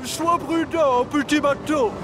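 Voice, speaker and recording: Un homme interprète un gros engin affairé, pratique et attentionné, qui parle d'un ton vif. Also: male voice, very high-pitched, steady tone, over loud music. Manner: brisk, caring. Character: big busy male engine, caring and practical. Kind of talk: French cartoon dub.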